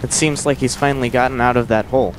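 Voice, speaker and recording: A man plays a reasonable, wryly exasperated young monk in an amateur audio drama. His pitch is average and his manner measured, with faint noise behind him. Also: fairly even intonation, lightly acted. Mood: wry exasperation.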